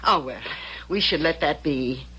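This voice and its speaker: An actress playing a chatty, folksy middle-aged widow who runs a boarding house, in an old-time radio drama. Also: female voice, low voice, unhurried, bright, forceful tone. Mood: hospitable. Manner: folksy, gushing, chuckling old-fashioned talk.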